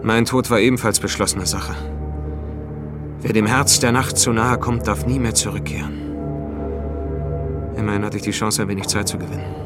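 A man acting a world-weary noir hero narrating a science fiction radio play. His voice sits low, and he speaks in terse, deliberate, brooding phrases. Loud music plays beneath him. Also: steady tone, natural voice. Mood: grim, resigned. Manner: terse, deliberate, hard-boiled. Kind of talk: scripted radio drama narration.

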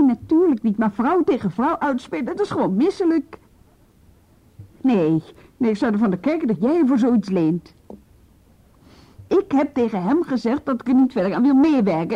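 A woman's voice: on the high side, warm tone, dull audio. An actress playing a principled policewoman, firm, reproachful and indignant, in a radio drama.

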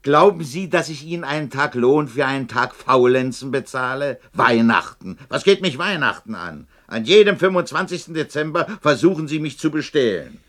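An actor playing a miserly, grumpy old businessman in a German 1960s radio play, gruff, sneering and impatient. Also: mid-range voice, expressive, normal speed, hardly any pauses, a bit bright, lightly acted. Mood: angry.

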